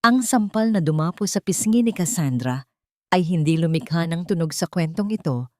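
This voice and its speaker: Low-voiced woman narrating drama, dry sound. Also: animated, normal speed, warm tone, dry recording. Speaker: story narrator. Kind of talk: scripted dramatic story narration.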